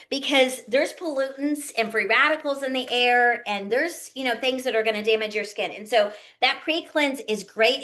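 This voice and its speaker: A woman's voice: fairly high pitch, fairly bright tone. A woman giving a casual skincare demonstration, chatty and folksy, often checking in with her listeners. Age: early 50s. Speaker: skincare consultant hosting a live online demonstration. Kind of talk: casual instructional chat, product demo.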